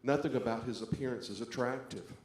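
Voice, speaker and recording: A man's voice: medium pitch, fairly quick, a little mellow. A man speaking as a pastor, introducing a church service and leading a scripture reading. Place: church sanctuary. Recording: echoey church, faint background noise.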